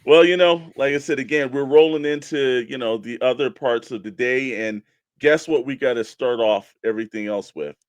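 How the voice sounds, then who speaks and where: A man's voice: mid-range voice, normal speed, no echo. A man co-hosting a show, chatting casually and giving opinions in a matey, conversational way. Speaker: co-host of a toy collecting show.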